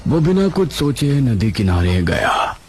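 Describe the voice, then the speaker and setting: A man's voice: medium pitch, big pitch swings, measured pace, a little hiss or hum. A man narrating a scripted story in a dramatic storytelling style.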